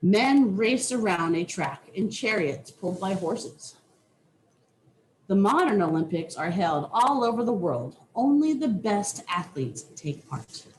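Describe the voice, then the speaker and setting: A woman reading a children's nonfiction book aloud with deliberate, teacherly phrasing. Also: mid-range voice, very animated, slight background noise, rough recording. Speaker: reader.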